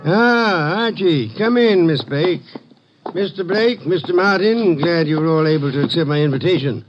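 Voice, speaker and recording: A man voices a grand, imperious detective who speaks in measured, formal sentences. His voice sits a little high for a man. It comes through a muffled old radio broadcast. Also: over music, natural voice. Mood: calm, formal. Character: portly, imperious male detective genius. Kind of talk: 1950s radio drama acting.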